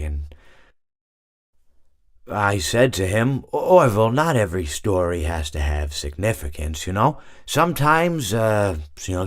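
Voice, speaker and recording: An actor playing an oblivious, long-winded animatronic hippo in a video game, philosophizing with hesitant, repeated words. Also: male voice, lowish voice, no room sound, clean studio sound. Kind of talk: video game character monologue.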